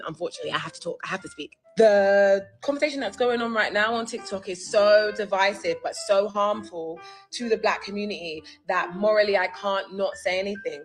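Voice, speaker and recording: A woman talking straight to camera in a social media clip, earnestly explaining her view point by point. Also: medium pitch. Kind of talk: direct-to-camera social video.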